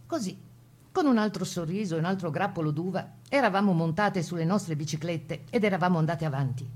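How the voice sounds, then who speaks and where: A woman's voice: on the low side, expressive, a little fast, a little mellow, slightly rough. A woman playing a plucky Italian Resistance partisan, telling her wartime memories in the first person as a dramatized radio testimony. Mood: wry, composed. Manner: composed first-person storytelling.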